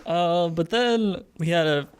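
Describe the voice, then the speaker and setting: A man casually recapping a tabletop game as a player, speaking haltingly and jokily, often chuckling as he talks. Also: on the high side, smooth. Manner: halting, jokey, chuckles while talking. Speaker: player in a tabletop role-playing podcast.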